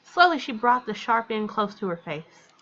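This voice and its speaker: A woman's voice: on the high side, very animated, normal speed, fairly bright tone, dull audio. A woman reading a visual novel aloud as a let's player, with informal, colloquial reactions along the way.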